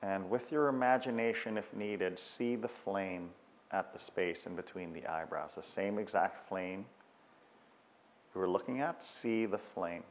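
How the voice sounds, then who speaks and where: A man guiding a meditation with unhurried, measured instructions and long pauses, in a dull recording with long reverb. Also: deep voice. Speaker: meditation guide. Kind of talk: guided meditation.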